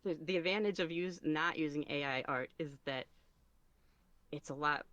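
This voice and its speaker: A man giving casual podcast interview answers as a guest, halting and thinking aloud as he goes. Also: a little high, sharp tone, clear, resonant tone, dry recording, dull audio. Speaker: podcast guest, ASMR roleplay creator.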